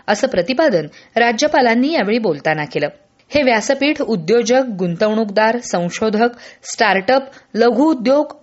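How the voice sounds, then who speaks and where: A woman presents a scripted radio newsreel in a formal, measured manner. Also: a little high. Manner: formal, measured news reading. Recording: dull lo-fi radio broadcast sound.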